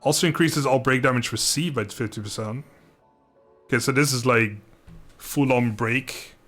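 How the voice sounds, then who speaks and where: A man's voice: medium pitch, a bit bright. Casual male game streamer, music underneath. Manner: informal, chatty, halting, thinks aloud. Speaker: video game livestreamer. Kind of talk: casual livestream chat over gameplay.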